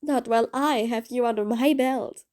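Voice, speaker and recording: A woman's voice: a little high, normal speed, heavy background noise, lightly acted. A woman playing a mean but patient supervillain in an audio roleplay, bossy and sardonic, with threats that carry grudging fondness. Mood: teasing, threatening. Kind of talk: scripted audio roleplay addressed to the listener.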